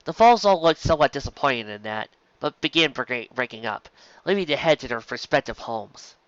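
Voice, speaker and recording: A narrator reading a story aloud in an expressive audiobook style. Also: mid-range voice, a little fast, dry recording, dull audio.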